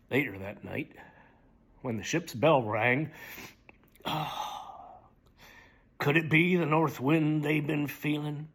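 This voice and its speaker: A middle-aged man giving a slow, theatrical reading of lyrics, often dropping to a hushed whisper. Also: medium pitch, husky. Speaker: dramatic reader. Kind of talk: dramatic spoken reading of song lyrics.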